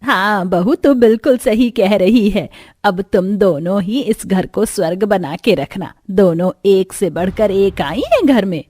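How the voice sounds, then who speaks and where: A woman's voice: medium pitch, animated, unhurried, darkish tone, breathy edge, no room sound, clean studio sound, voice pushed down. Woman playing older resigned mother-in-law. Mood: approving. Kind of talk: Hindi cartoon story acting.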